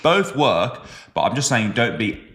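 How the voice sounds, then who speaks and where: A man from the West Midlands co-hosting a podcast in casual chat, animated and teasing, with sudden jumps up in pitch when he stresses a point. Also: unhurried. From West Midlands, England. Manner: teasing, joking banter. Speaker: podcast co-host.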